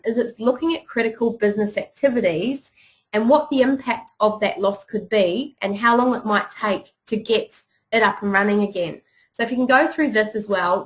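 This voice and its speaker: A woman with a New Zealand accent talks through a webinar on business preparedness. Her delivery is conversational and encouraging, dotted with small fillers as she goes, and her voice sits at an ordinary middle pitch. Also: rough recording. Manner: chatty and encouraging, many "you know" and "um" fillers. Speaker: webinar presenter.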